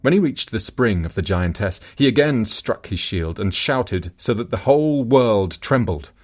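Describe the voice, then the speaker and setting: A male narrator reads a folk tale from a script. His voice sits fairly low and swings widely in pitch, giving the storytelling a very animated shape. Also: normal speed. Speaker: audiobook narrator.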